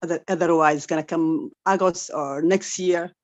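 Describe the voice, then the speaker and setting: Woman, native Arabic speaker, lower register, halting repetitive English, giving a personal testimonial over a dull online call. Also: fairly even intonation. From Somali family, grew up in Saudi Arabia. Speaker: guest member giving a testimonial. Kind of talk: unscripted testimonial. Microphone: video call.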